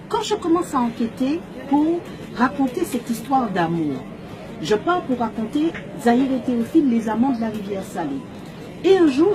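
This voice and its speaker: A woman's voice: on the high side, rough recording. A woman from Martinique, presenting her book in an impassioned, emphatic storytelling manner with rhetorical questions. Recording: crowd chatter behind the voice.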